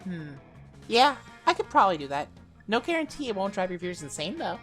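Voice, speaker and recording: A woman voicing a wry, patient young monster girl with an expressive, slightly dry delivery in an amateur game dub. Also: mid-range voice, a little fast, soft background music. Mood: wry, friendly. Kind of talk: amateur visual novel dub.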